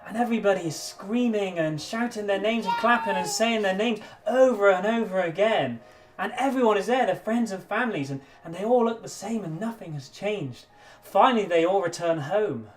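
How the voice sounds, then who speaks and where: Actor as a soft-spoken, weary astronaut father. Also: sits high, moderate pace, faint music behind, lightly acted. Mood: storytelling. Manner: soft, hushed, weary, gentle storytelling. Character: quiet, determined astronaut father.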